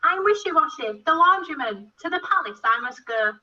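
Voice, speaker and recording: A woman's voice: sits high, animated, moderate pace, sharp tone, heavily acted. A woman playing a chatty, good-natured young laundry lad who narrates a rhyming pantomime, on a lo-fi recording. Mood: matter-of-fact. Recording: dull lo-fi sound.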